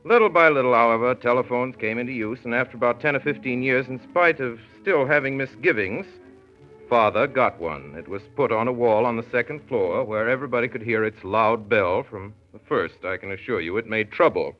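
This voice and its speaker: An actor playing a grown son wryly recalling his father in measured, precise 1930s radio narration. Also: medium pitch, soft background music, natural voice. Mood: wry, reminiscing. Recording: muffled vintage 1930s radio recording.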